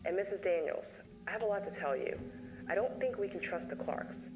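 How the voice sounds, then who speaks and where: A woman's voice: medium pitch, expressive, brisk, darkish tone, natural voice, rough recording. A woman playing a shaken investigator who narrates a horror story as a taped confession. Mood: uneasy. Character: shaken woman recounting her investigation on a tape to John. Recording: music behind the voice.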